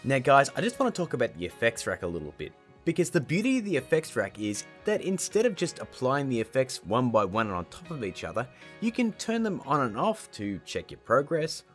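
A man's voice: mid-range voice, big pitch swings, fairly quick, soft background music. A man in his thirties hosting a casual video tutorial, playful, chatty and informal in his delivery. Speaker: tutorial host.

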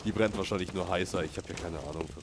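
A man with a fairly low voice talks his way through a video game, loose and chatty, turning to his viewers now and then. He has a slight cold and sniffles a little. A loud hiss of noise sits under the recording. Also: fast. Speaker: let's play gamer. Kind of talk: casual gameplay commentary.

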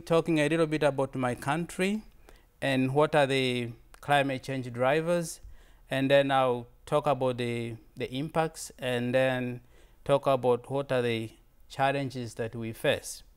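A man's voice: mid-range voice, fairly even intonation, slow pace, clean tone. A man in his thirties from Tanzania, with a Tanzanian accent and Swahili as his first language, gives an informal slide presentation in an earnest way, often repeating words and restarting. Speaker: visiting fellow presenter.

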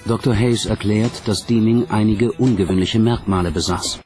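A man narrates a documentary in a low-pitched voice. He reads from a script, and music plays clearly behind him. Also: steady tone, normal speed.

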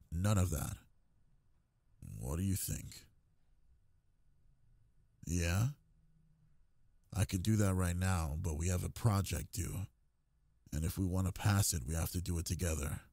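A young man playing a brooding, possessive delinquent goth bully in an intimate ASMR roleplay, with a low, husky voice and a domineering murmured drawl. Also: a little mellow, dry recording, heavily acted. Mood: exasperated, insistent.

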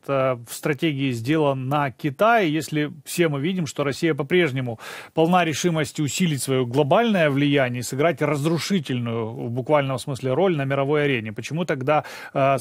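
A man news anchor in a television studio, introducing a guest and asking measured questions with occasional fillers. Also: on the high side, dry recording.

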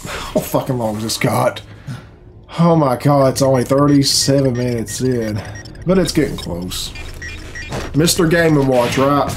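A man's voice: medium pitch, a little slow. A man in his thirties giving casual, profane and sardonic reaction commentary in a small room. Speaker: reaction video host. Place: small bedroom, indoors. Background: video game cutscene music.

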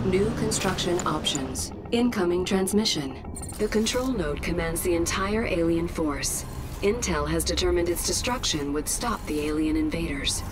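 Low-voiced female military battle computer played by an actress. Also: background noise, close to an everyday voice. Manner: clipped, impersonal, formal. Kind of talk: terse game status announcements.

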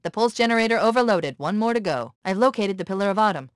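A woman voicing a quick-witted, analytical military artificial intelligence in a video game, with a crisp, authoritative manner. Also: mid-range voice, animated, dry recording, clean studio sound, natural voice. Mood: analytical, focused. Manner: crisp, precise, authoritative briefing.

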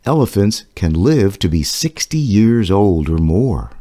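A man with a very deep, warm voice reads a children's book aloud at an unhurried pace. His intonation swoops up and down in a lively way to keep young listeners interested. He sounds gentle and clear, like a storyteller at bedtime. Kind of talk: slow children's read-aloud. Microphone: voice-over over book pages.

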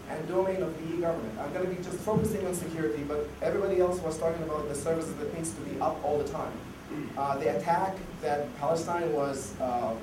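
Man, losing his voice, casual talk with many restarts, distant mic, noisy background. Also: fairly high pitch. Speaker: conference speaker. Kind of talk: conference talk. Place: conference hall. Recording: echoey hall, far mic, noisy.

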